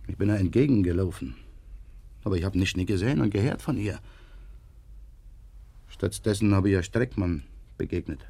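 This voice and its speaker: An actor playing a pious, gentle village man in a radio play, speaking haltingly and earnestly. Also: sits low, animated, dry recording, exaggerated voice. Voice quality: husky, creaky. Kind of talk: naturalistic German radio play acting.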